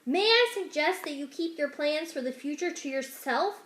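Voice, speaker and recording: A woman's voice: sits high, lively intonation, normal speed, fairly bright tone, a little hiss or hum, voice pushed up. A scheming storybook rat, acted out by a woman, speaks in a roomy echo. He is smug and condescending, wrapping his impatience in fussy, formal politeness. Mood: hushed impatience.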